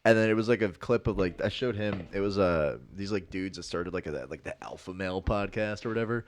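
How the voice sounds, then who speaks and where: A man's voice: low-pitched, fairly quick, slight background noise. A young man co-hosting a podcast, telling rambling stories in casual chat, filling his talk with "like". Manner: rambling storyteller, lots of "like" fillers. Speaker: podcast co-host.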